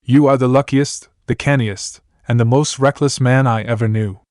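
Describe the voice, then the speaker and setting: A man voicing a gruff male dwarf warrior, loud and blustering, then fond, in a dry recording. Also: medium pitch, steady tone, moderate pace, dry recording, natural voice.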